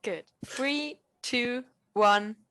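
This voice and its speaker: Slow-talking woman podcast host. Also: on the high side, sharp tone. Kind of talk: hosting a podcast.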